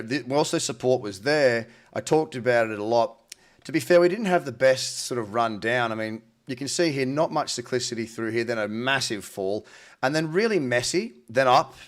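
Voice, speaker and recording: A man hosts a casual market rundown in an informal, colloquial way. His voice is a little nasal from a head cold, and he talks like a trader chatting with mates. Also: medium pitch. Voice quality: slightly nasal. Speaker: host, crypto trading analyst. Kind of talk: casual market commentary to camera.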